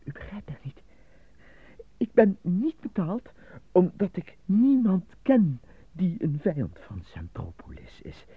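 A man playing an anxious, apolitical stage actor in a Dutch radio drama, with a theatrical manner that turns halting under nerves. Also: high voice, sing-song, slightly rough, dry recording, heavily acted. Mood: agitated, pleading. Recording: dull lo-fi radio drama recording.

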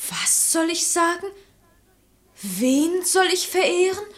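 Meek high-voiced young daughter. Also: female voice, sing-song, slow, full character voice. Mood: dismayed. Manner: polite, meek. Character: dutiful, timid young daughter of marriageable age. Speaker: actress. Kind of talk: theatrical German radio play acting in verse.

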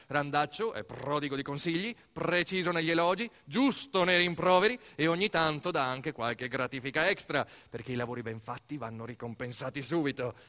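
A man's voice: fairly high pitch, a bit bright. A man performing a theatrical narrative monologue, conversational and emphatic, full of asides and lists. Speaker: storyteller actor in a radio theatre monologue. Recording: music under the voice. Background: music.

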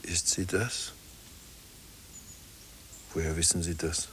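Very deep, solemn, lonely priest. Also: male voice, fairly even intonation, takes it slowly, fairly bright tone, slight background noise. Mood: sorrowful, subdued. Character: melancholy lonely parish priest at a burial. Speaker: actor in a radio drama. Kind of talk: German radio play acting.

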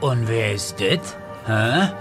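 Ardent male lover, mid-pitched, casual, flirtatious, radio play, music behind. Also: lively intonation, takes it slowly. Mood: wary. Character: ardent, familiar old flame of a dreamy woman. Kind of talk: radio drama dialogue.

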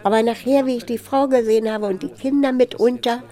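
An actress playing a chatty, mildly fretful older housewife in naturalistic radio drama, speaking haltingly and seeking agreement with short tag questions. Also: female voice, a little high, steady tone, warm tone, close to an everyday voice. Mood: uneasy, reminiscing. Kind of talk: naturalistic, improvised-sounding radio drama dialogue.

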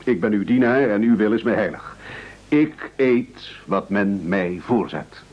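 An actor playing a wily, soldierly demagogue in a satirical radio play, speaking in a blustering, oratorical way. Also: male voice, lowish voice, expressive, unhurried. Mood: blustering, self-serving. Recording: dull old radio recording.

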